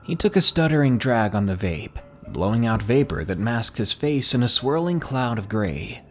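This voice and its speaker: A man reading a ghost story aloud in a measured storytelling delivery. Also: mid-range voice, sing-song, a bit bright, faint music behind. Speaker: narrator. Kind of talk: scripted horror story narration.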